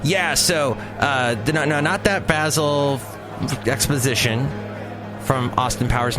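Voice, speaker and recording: A man hosting his own podcast in a rambling, jokey monologue with playful asides. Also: mid-range voice. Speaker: podcast host. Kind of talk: casual solo podcast monologue.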